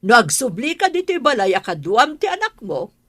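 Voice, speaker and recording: A woman's voice: fairly high pitch, big pitch swings, normal speed, fairly bright tone, dry recording. A woman acting a stern, scolding middle-aged mother in a radio drama, with an Ilocano accent and a blunt manner. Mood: stern, reproachful. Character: stern, scolding mother who distrusts her daughter's man.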